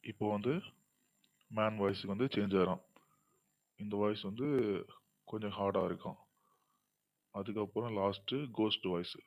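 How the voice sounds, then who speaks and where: A man's voice: steady tone, moderate pace, pauses often. A man using a voice changer to sound like a hard, heavy ghostly man while giving plain tutorial instructions. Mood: instructional. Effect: voice changer, lowered pitch. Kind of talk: app tutorial demonstration.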